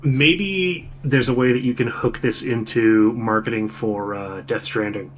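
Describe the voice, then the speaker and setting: A man's voice: mid-range voice, unhurried, fairly bright tone. Jokey man bantering over a noisy hum. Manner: jokey, slangy. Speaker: co-host on a film commentary stream. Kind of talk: casual banter.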